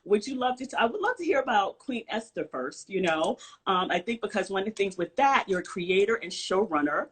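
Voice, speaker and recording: A woman's voice: medium pitch, lively intonation, brisk, few pauses, slightly rough. A woman from Brooklyn and Virginia hosting a casual conversational interview, effusive and upbeat with many fillers and restarts. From born in Brooklyn, raised in Virginia. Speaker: interview host.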